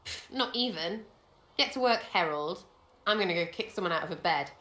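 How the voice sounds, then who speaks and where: A woman's voice: fairly low pitch, expressive, fairly quick, sharp tone, echoey room, a little hiss or hum. An actress playing a blunt, playful young female elf rogue in a game cutscene, with a mocking, irreverent way of talking. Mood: mocking, cheeky.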